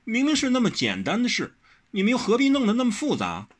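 A man's voice: high-pitched, wildly swooping pitch, normal speed, a bit bright, slightly rough, pitched above the performer's own voice, exaggerated voice. A man voicing a headstrong, pouty young swordswoman in an audiobook, whiny and insistent. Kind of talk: audiobook character reading.

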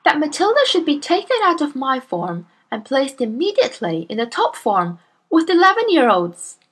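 A woman voicing a gentle young female schoolteacher who pleads earnestly in a high voice. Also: wildly swooping pitch, normal speed, full character voice. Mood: earnest pleading.

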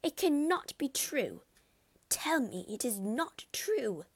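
A woman's voice: high-pitched, very animated, slow, breathy edge, dry recording, voice pushed up, heavily acted. A woman voicing a domineering elderly aristocratic lady who talks in an imperious, scolding way, raised above her own voice.

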